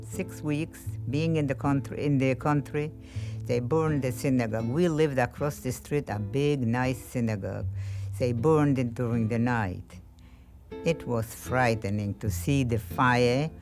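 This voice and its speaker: A woman in her eighties from Poland with a Polish accent, giving slow, measured, emphatic interview testimony. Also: rumbling low. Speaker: Holocaust survivor interviewee. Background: soft guitar music.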